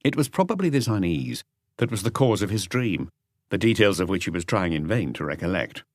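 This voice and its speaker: A man narrates a literary crime audiobook. His voice is low, with lively, varied intonation, and the sound is dry and close. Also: a little fast, dry recording.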